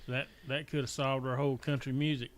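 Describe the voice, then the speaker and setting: A man's voice: medium pitch, flat delivery, quick pace. A middle-aged man with a Southern US accent, co-hosting a podcast in a laid-back, plain-spoken, folksy chat. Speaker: podcast co-host. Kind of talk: casual chat.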